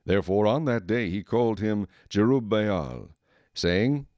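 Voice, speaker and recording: A man narrating scripture from a script in a measured, formal manner. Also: lowish voice, darkish tone, dry recording.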